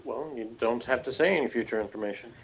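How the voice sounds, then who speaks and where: A man's voice: medium pitch, fast, a little hiss or hum, rough recording. A man talking through a TV review in a casual, analytical way, hesitant and rambling as he works out his points. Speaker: co-host reviewing a TV series.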